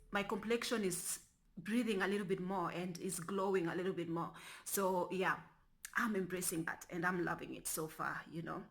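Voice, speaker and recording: Bright-voiced woman from Kenya chatting. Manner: rambling, restarts often, lowers to a near whisper. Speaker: vlogger. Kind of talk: casual talking-to-camera vlog.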